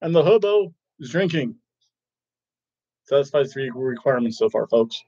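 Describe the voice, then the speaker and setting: A man hosting a casual wrestling review, rambling and excitable, often restarting his sentences. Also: mid-range voice, moderate pace. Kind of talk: casual chat. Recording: dull lo-fi recording.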